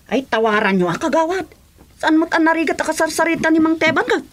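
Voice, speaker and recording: Chatty village neighbour played by an actress, very high voice, rural radio comedy drama. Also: female voice, animated, fast.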